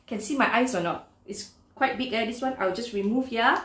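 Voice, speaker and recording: A woman reading a children's story aloud in an expressive, deliberate, instructive way, speaking directly to young listeners. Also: on the high side, roomy sound, slight background noise.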